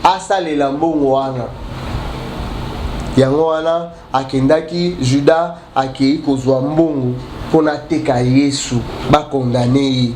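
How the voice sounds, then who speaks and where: A man preaching a sermon while he has a cold, halting and restarting often, then building emphatic repetitions. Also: mid-range voice, fairly even intonation. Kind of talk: sermon mixing French and Lingala. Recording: echoey room with faint ambient noise.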